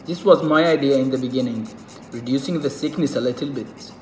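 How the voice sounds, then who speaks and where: A man from Egypt with an Arabic accent, whose first language is Arabic. He speaks in a casual, halting way with many fillers, demonstrating something at a table indoors. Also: mid-range voice, roomy sound, a little hiss or hum, poor audio. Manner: halting, with frequent fillers and restarts. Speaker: reptile keeper.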